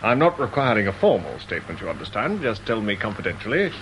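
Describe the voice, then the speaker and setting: An actor playing a stiffly formal British police inspector in a radio drama, measured and officious, with understated sarcasm. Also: male voice, lowish voice, sing-song, a bit bright, a little hiss or hum, rough recording.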